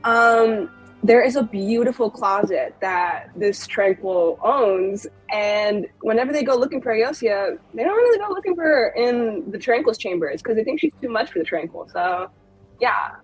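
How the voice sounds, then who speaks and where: A woman's voice: on the high side, expressive, moderate pace, slightly rough, soft background music, low-quality recording. A woman playing a tabletop game, telling an improvised story in a casual, playful, informal way.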